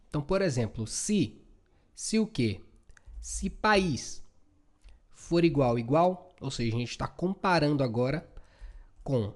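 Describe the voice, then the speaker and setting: A Brazilian man giving an informal, chatty coding tutorial, often checking in with short tag questions. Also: a little high. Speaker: programming instructor.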